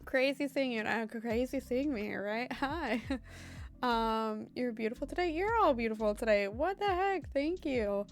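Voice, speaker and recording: A woman with a fairly high, hoarse voice that keeps threatening to give out. She talks to her stream audience in a loose, rambling way, full of "um" and "like". Faint music plays behind her. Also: animated, unhurried. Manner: chatty and meandering, heavy on fillers like "um" and "like". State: hoarse, voice intermittently giving out. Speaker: live streamer and medium. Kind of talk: casual live-stream chat with viewers.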